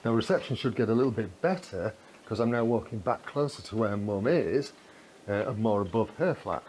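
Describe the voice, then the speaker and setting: A man's voice: on the low side, animated, moderate pace, a little mellow. A man giving a casual, chatty running commentary as he films a gear test indoors. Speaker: vlogger testing walkie-talkies. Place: corridors inside a residential building. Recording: clear background noise.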